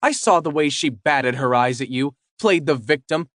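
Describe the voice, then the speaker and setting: A man's voice: fairly high pitch, animated, moderate pace, dry recording, studio quality, pitched above the performer's own voice, natural voice. Give me a man doing a young woman, teasing, then pressing hard with questions. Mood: upset, questioning. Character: loving young girlfriend, affectionate then upset.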